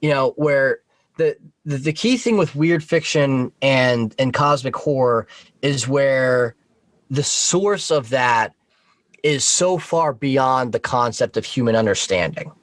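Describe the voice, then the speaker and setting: A man's voice: medium pitch, expressive, takes it slowly, no echo. A man talking informally as a podcast guest, explaining things in a conversational way.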